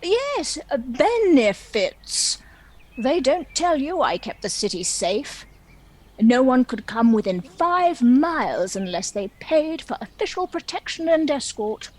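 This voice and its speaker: Woman as world-weary dragon, comic stage drama, slow delivery, highish voice, indignant, wry, theatrical. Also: big pitch swings, a little mellow, heavily acted. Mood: wry, boastful. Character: proud, lonely, world-weary vegan dragon. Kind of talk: dramatic monologue in a comic play.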